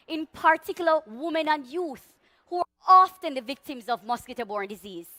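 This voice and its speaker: A Jamaican woman delivers a motivational talk from a conference stage, her high voice ringing out. She speaks with a bright, forceful edge, plenty of passion and a big voice, leading the crowd in call-and-response chants. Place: large conference hall stage.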